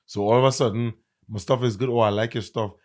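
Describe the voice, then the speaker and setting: A man with a Trinidadian accent, a content creator and comedian, chatting in an interview and telling stories with lively emphasis. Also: medium pitch, fast talker. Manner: animated, emphatic storyteller. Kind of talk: casual interview chat.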